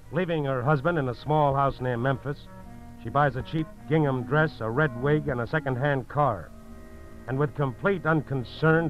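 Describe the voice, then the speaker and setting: An actor as a grave, urgent American narrator of a 1940s radio crime drama, clipped, dramatic and emphatic. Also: male voice, medium pitch, natural voice. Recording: dull old radio broadcast recording.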